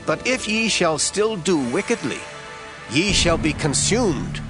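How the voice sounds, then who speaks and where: Stern, animated biblical prophet. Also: male voice, on the high side, measured pace. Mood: earnest exhorting. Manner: emphatic, preacherly delivery. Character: stern male prophet solemnly exhorting and rebuking. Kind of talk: dramatized scripture audio reading. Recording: music under the voice.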